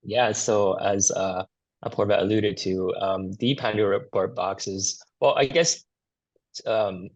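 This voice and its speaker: A man's voice: on the low side, steady tone, measured pace, no room sound, lo-fi sound. A man co-hosting a podcast, putting questions in a conversational, somewhat hesitant way. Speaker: podcast co-host. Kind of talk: conversational interviewing.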